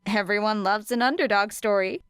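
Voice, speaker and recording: A woman's voice: medium pitch, fairly quick, a bit bright, smooth, no room sound, studio quality, close to an everyday voice. A woman voicing a scrappy, determined teenage girl in an audiobook, casual and blunt in manner. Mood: persuasive.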